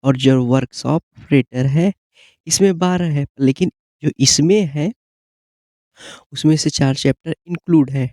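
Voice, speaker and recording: Higher-voiced man teaching, dry sound. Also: a little slow, mellow, dry recording. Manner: repeated check-in tags like "okay?". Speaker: exam-prep teacher. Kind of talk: informal explanatory lecture.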